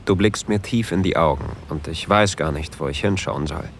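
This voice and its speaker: An actor playing an introverted, easily flustered train traveler who tells of a romantic encounter in an intimate audio drama. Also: male voice, low-pitched, moderate pace, a little hiss or hum. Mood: intimate, longing.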